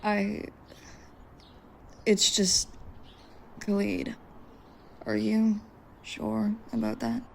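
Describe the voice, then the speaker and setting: Woman acting a hesitant, slow-spoken, creaky-voiced hunter. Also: medium pitch, little pitch movement, darkish tone, a little hiss or hum, heavily acted. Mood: doubtful, nervous. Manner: hesitant, halting. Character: nervous but capable young woman hunter. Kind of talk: audio roleplay acting.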